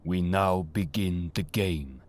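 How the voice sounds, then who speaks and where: A man's voice: deep voice, darkish tone. A man playing a grave, ominous servant of an Egyptian death god in an audio drama, speaking formally, over music. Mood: cold, judging. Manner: grave, formal, slow pronouncements. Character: ominous ancient Egyptian tomb guardian, servant of Anubis.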